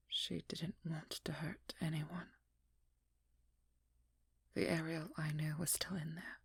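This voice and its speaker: A woman performs a haunted vampire hunter, a determined woman baring her tragic past in an intimate ASMR role-play. Her whisper is very breathy and husky, close and dry. She speaks very slowly, in pained, broken phrases. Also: fairly low pitch, no echo, heavily acted. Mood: somber, aching. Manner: slow, halting, pained confession in short broken phrases.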